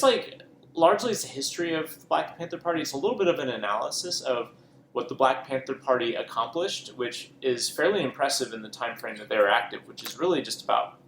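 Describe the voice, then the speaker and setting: A man's voice: medium pitch, fairly bright tone. A man co-hosting a podcast, chatting casually about books he read, rambling with lots of ums and self-corrections. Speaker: podcast co-host. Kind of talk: casual book chat. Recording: echoey room with faint noise.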